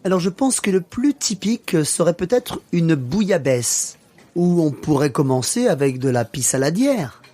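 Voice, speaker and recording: A man's voice: on the high side, sing-song, moderate pace, dark tone. A man playing a genial, teasing French father in a scripted language-learning radio play.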